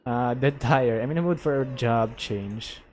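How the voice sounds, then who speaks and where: A man's voice: mid-range voice, moderate pace, a little mellow. A man with a Filipino accent, a game streamer chatting casually and reading dialogue aloud. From Philippines. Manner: code-switches between English and Tagalog. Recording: game music behind.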